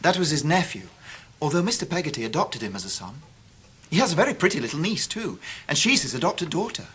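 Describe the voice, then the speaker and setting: An actor playing a polite, earnest young English gentleman who tells his own story in a radio drama. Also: on the high side, sing-song, fast talker, slightly rough, full character voice. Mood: polite, enthusiastic. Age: young adult. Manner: courteous, earnest, well-spoken. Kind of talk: 1990s radio drama acting, with narration.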